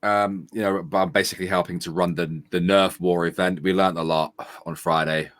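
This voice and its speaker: A man chats over a remote call as co-host. He tells rambling anecdotes in a fairly low voice. The sound is dry and close to the mic. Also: fairly even intonation, brisk, fairly bright tone, no room sound. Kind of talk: casual livestream chat.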